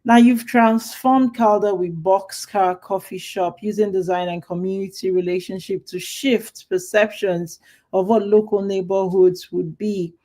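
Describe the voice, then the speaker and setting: A woman with a Nigerian accent hosts a live talk show at an even, middling pitch. She chats warmly with guests and often stops for fillers and restarts. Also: measured pace. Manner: warm, chatty, with fillers and restarts. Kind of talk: live show interview, casual.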